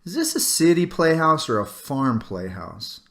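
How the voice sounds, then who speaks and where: A man's voice: medium pitch, big pitch swings, unhurried, vocal fry, fairly bright tone, reverberant, heavily acted. A man reading a children's storybook, voicing a bossy, determined young farm girl with an insistent manner. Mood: eager, insistent.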